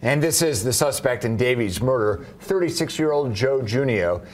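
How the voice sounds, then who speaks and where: A man's voice: medium pitch, animated, moderate pace. An older man reading scripted news as an anchor in a TV studio.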